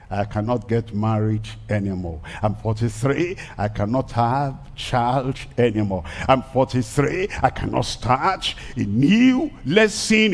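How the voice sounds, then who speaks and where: Older Nigerian pastor preaching with lively pitch. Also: male voice. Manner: emphatic preaching, rising to shouted declarations. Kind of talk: crusade sermon. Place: large outdoor crusade stage before a crowd. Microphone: headset mic.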